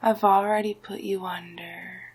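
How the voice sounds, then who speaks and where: A woman voicing a smug, domineering male sorcerer villain in an ASMR roleplay, breathy and often whispering, with a deliberate, hypnotic delivery. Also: medium pitch, steady tone, darkish tone. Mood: soothing menace. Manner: slow, deliberate, gloating, hypnotic.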